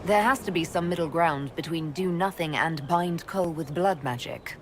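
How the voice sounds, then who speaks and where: A woman's voice: lowish voice, normal speed, noisy background, natural voice. An actress playing a pragmatic woman leading an order, talking directly and practically. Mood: concerned.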